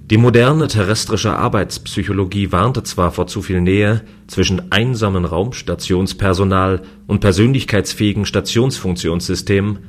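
A man acting as a solitary, easygoing watchman of a remote space station in a sci-fi radio play. His voice is low, and he speaks scripted lines as the story's own narrator and hero. There is faint noise in the recording. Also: close to an everyday voice. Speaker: voice actor and first-person narrator.

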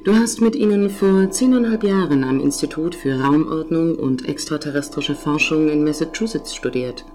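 A woman voicing an attentive, composed female on-board computer with formal, measured phrasing in a scripted science fiction audio drama. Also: deep voice, mellow, close to an everyday voice. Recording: music under the voice.

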